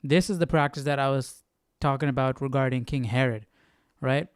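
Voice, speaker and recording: A man's voice: medium pitch, fairly even intonation, moderate pace, clear, resonant tone, no room sound, studio quality. A man hosting a Bible study stream, casually reading verses and musing aloud with questions about them.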